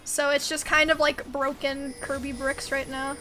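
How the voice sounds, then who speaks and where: A woman's voice: high voice, flat delivery, normal speed, sharp tone, soft background music, poor audio. A young woman in her twenties whose first language was Mandarin, chatting casually on a game stream, giggling and filling her talk with "like" as she reads chat aloud.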